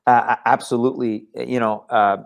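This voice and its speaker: A man's voice: medium pitch, level tone, moderate pace, creaky. A man from Iran, a guest on a talk show, speaking earnestly with frequent stammered restarts. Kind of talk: casual talk show conversation.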